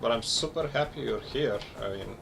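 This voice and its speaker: A man from Bulgaria with a Bulgarian accent, chatting casually to his viewers while he plays a game on a live stream and rambles between pauses. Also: mid-range voice, gravelly, noisy background. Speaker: gaming streamer. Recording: loud game music behind.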